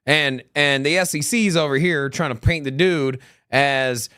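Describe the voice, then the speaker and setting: A man's voice: a little high, measured pace, clear, resonant tone, dry recording, clean studio sound. A man co-hosting a crypto news live stream. He talks casually, with lots of restarts and rambling asides. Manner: animated, rambling, many restarts. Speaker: co-host of a crypto news show.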